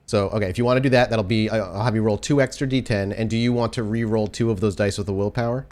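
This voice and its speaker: A man narrating a tabletop game as storyteller, setting scenes in a dramatic, deliberate way. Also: on the low side, fairly even intonation, a little mellow. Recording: prominent music behind the voice.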